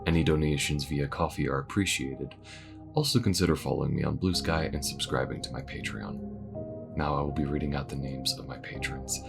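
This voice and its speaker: A man's voice: a bass voice, a little fast, gravelly, over music. A man reading a scripted outro as a channel creator, polite and formal in his thanks.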